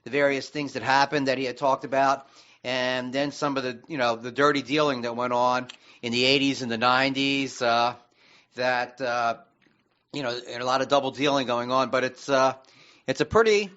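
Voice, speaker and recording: An American man co-hosting a radio show in casual discussion, rambling haltingly and often restarting mid-sentence. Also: medium pitch, steady tone, pushed and bright, muffled recording.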